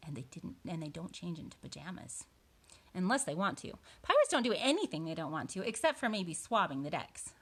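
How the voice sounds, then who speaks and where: A woman reading a storybook aloud to preschoolers, expressive, with chatty little asides to her young listeners. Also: on the low side, fairly quick, husky.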